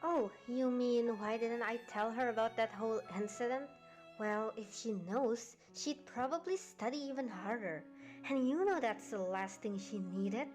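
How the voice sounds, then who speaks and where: A woman playing a friendly, chatty young helper to a pony princess, obliging and deferential, in an animated audio drama. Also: on the high side, normal speed, natural voice, low-quality recording. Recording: music behind the voice.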